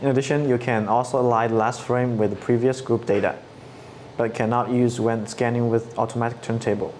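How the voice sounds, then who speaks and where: Flat-toned man explaining software steps. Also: medium pitch, fairly quick, slight background noise. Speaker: product trainer. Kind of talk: scripted tutorial narration. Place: studio set with dark backdrop. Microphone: lapel mic.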